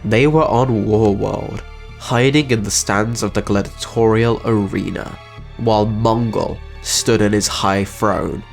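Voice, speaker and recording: A man's voice: fairly low pitch, unhurried, gravelly, faint music behind. A man giving scripted narration as the narrator and creator of a story channel.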